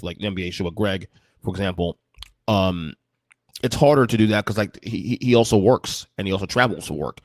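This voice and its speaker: A man's voice: fairly low pitch, dry recording, studio quality. Man in his forties, rambling podcast talk. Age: 40s. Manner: rambling, many restarts and fillers. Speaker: podcast host. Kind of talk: casual solo podcast talk.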